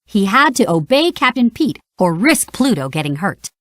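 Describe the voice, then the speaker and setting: A woman narrates a children's read-along storybook with expressive energy. Her voice moves up and down freely through each sentence. The recording is dry and close, with no room around it. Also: mid-range voice, normal speed, dry recording. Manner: animated, expressive.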